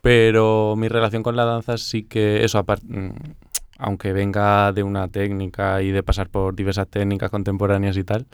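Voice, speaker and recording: A man's voice: lowish voice, monotone, moderate pace, clean tone, dry recording, studio quality. A young man from Murcia, a choreographer chatting casually as a guest and laughing often. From Murcia, Spain. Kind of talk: casual interview talk.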